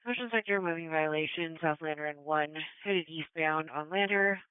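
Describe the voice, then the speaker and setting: A police dispatcher heard over a tinny, narrowband scanner radio. The voice is clear and smooth at a middling pitch, very dry, reading out calls and numbers in clipped procedural phrases. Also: normal speed, no room sound.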